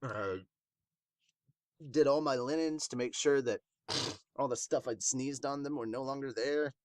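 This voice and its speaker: A man's voice: mid-range voice, unhurried, dry recording, studio quality. A man hosting a manga podcast in casual chat, with congested sinuses. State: congested sinuses, sniffing and throat clearing. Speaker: podcast host reviewing manga.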